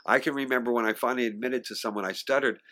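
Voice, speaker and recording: A man's voice: medium pitch, fairly even intonation, rapid-fire, pushed and bright. A man in his sixties, an interview guest who sings and acts, reminiscing with occasional stutters and restarts and acting out the voices of people he quotes. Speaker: singer and actor, interview guest.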